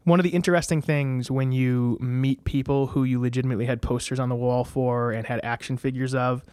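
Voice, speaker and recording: A man in his thirties talks through his stories in a relaxed, rambling way, with plenty of fillers and restarts. His voice is mid-pitched, and the recording is dry and close. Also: a little fast, smooth, no echo. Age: 30s. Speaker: guest. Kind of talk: casual interview storytelling.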